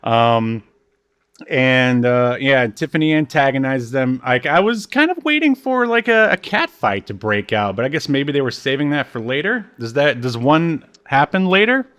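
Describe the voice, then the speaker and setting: A man in casual podcast chat, recapping as co-host in a halting, hesitant way with trailing asides. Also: mid-range voice, very animated, a bit bright, clean tone.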